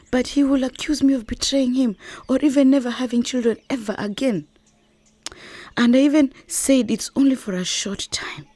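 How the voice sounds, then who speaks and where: Actress as injured young wife, earnest, weary, breathy dark voice, radio drama. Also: female voice, on the high side, dry recording, close to an everyday voice. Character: injured, determined young wife and market trader.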